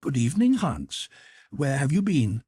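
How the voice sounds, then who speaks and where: Man doing a nagging older mother, chiding, husky, storybook. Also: medium pitch, huge pitch swings, measured pace, mellow, no echo, voice pushed up, full character voice.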